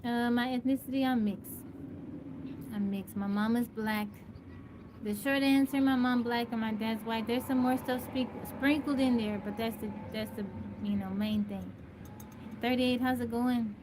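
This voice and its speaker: A woman's voice: a little high, steady tone, normal speed. Chatty woman live-streaming over background noise. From Texas, US. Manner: chatty, greets viewers by name, answers questions. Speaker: livestream host. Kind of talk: casual live-stream chat, reading viewer comments. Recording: noisy live-stream audio.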